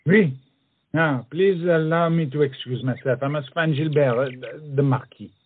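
A man's voice: fairly high pitch, moderate pace, breathy edge, phone-quality sound. An actor playing a proud, witty, self-conscious French swordsman-poet in a comic audio play, with florid, dramatic phrasing. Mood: courtly, self-conscious. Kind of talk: theatrical comedy audio-play acting.

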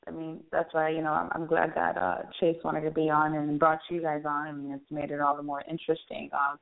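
A woman hosting a casual interview in an encouraging, conversational way. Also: low-pitched. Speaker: interview host. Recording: thin phone-line sound.

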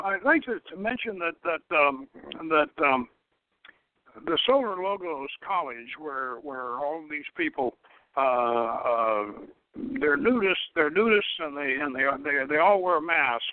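A man hosting an informal radio talk, speaking in a halting, rambling way and often repeating his words as he explains. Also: on the high side, no echo. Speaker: show host and author. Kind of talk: dramatic reading of own novel. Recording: narrow phone-like radio line.

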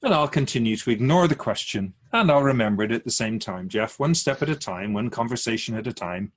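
A wise, otherworldly keeper of souls, acted by a man for a radio drama. The delivery is measured and instructive, with a gently chiding, wry edge. The pitch sits in a middle range. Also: animated, lightly acted. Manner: measured, didactic, wryly teasing. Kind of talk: conversational radio drama acting.

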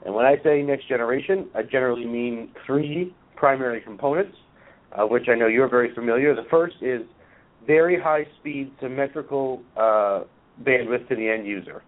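A man with a hoarse voice from a cold, a guest in an interview, explaining at a measured pace with many filler pauses. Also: mid-range voice. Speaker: guest, nonprofit executive. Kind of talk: conversational interview answers. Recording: phone-line audio.

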